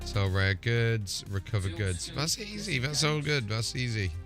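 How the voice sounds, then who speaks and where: A man's voice: medium pitch, lively intonation, a little slow. A man hosting a gaming livestream, chatting casually in an informal, rambling way. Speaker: game livestream host. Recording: music playing behind the voice. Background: music.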